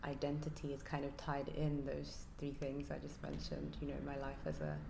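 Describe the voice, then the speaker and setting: A hairstylist and artist from Nigeria, speaking quietly and reflectively in a casual interview, halting with ums. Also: female voice, low-pitched, monotone, vocal fry. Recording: echoey room with faint noise.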